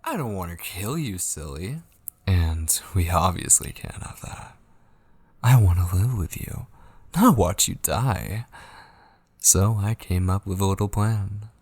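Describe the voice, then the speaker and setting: An obsessive yandere kidnapper, played by a man, murmurs in a low, gravelly voice for an ASMR roleplay. He is possessive and sweetly menacing, coaxing slowly and often sinking toward a husky whisper, very close and dry on the mic. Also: expressive, a little mellow, no echo, heavily acted. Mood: gloating. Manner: slow, coaxing, teasing endearments. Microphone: close studio microphone, dry.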